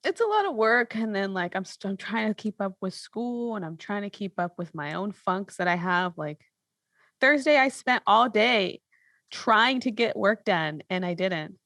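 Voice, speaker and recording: A woman's voice: medium pitch, animated, moderate pace, a bit bright, no echo. A woman in her thirties chatting casually and candidly as a podcast host. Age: 30s. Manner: candid, informal. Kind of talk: casual chat.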